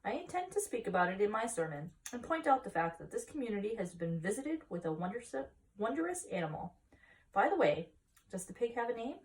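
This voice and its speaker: A solemn male country minister, acted by a woman reader in a children's storybook. He deliberates gravely in measured preacherly phrases, pitched high and slightly distant from the mic. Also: big pitch swings, lightly acted.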